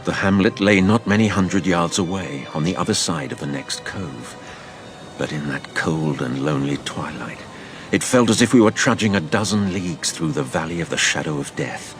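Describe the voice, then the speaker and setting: Man as a very deep-voiced, grave storyteller. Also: soft background music, close to an everyday voice. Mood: grave, suspenseful. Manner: measured, literary storytelling. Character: grown man gravely recounting his boyhood pirate adventure. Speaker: narrator. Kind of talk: scripted dramatic narration.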